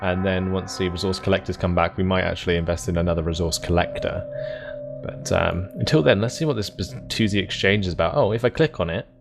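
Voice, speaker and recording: A man's voice: low-pitched, fairly quick, creaky, over music. A man giving casual gameplay commentary as a video host, understated and thinking aloud.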